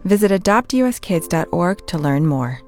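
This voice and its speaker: A woman announcer narrating a public service ad in a calm, measured way. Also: on the low side, soft background music.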